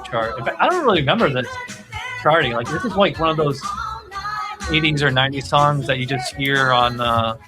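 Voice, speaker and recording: A male podcast host in a relaxed group chat about music. He rambles and feels his way through his thoughts at a medium pitch. Music plays clearly behind his voice. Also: brisk.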